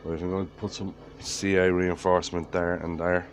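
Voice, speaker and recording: Low-voiced Irish man with a head cold. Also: little pitch movement, normal speed. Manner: plain-spoken, matter-of-fact. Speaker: woodturner. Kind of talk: workshop narration. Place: woodturning workshop. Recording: workshop noise behind voice.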